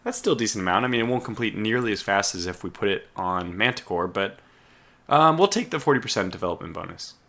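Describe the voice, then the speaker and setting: Hoarse man muttering through a strategy game. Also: on the low side, animated, quick pace, sharp tone. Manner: muttering to himself while playing. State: losing his voice, clearing his throat. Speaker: let's play host. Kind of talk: casual gameplay commentary.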